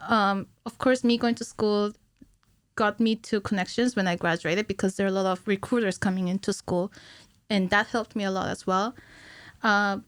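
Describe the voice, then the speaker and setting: A woman's voice: mid-range voice, fairly even intonation, normal speed, clear, resonant tone, no room sound. A woman around her thirties in casual interview chat as a guest, explaining things in a giggly, informal way. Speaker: podcast guest, animator. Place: small indoor podcast studio. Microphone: close studio mic on a stand.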